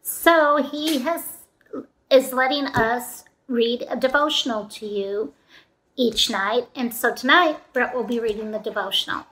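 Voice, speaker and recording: A woman's voice: fairly high pitch, unhurried, sharp tone, low-quality recording. A woman in her fifties casually greeting and addressing children as a presenter. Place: indoors in a room at home, seated at a table. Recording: faint background noise with clicks.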